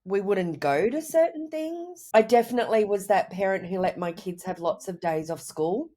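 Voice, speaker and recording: A woman with a cold, sounding croaky, answering interview questions over a video call in a reflective, rambling way. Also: medium pitch, lively intonation, moderate pace, mellow. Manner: reflective, rambling with many "you know" and "I guess". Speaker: guest, writer and mother. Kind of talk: candid conversational interview answers.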